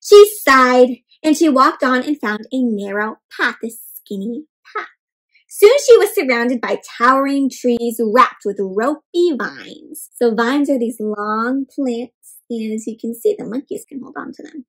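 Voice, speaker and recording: A high-pitched woman reads a picture book aloud for little kids. She is animated and expressive, pausing to question her young listeners and spell out what words mean. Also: rough recording. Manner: animated, asks questions and explains words to children; dramatic gasps and exclamations. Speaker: children's read-aloud storyteller.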